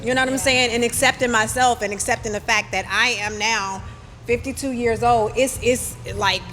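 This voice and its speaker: A woman's voice: fairly high pitch, fairly even intonation, moderate pace, pushed and bright, faint music behind. Candid woman in her fifties, echoing hall. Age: 50s. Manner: candid, conversational, uses tag questions. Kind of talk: panel talk.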